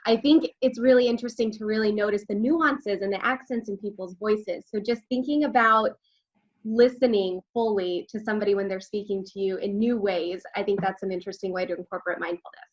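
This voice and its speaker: A woman's voice: medium pitch, fairly even intonation, a little fast, lo-fi sound. A woman from Texas co-presenting a webinar in an upbeat, conversational way. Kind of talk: webinar talk.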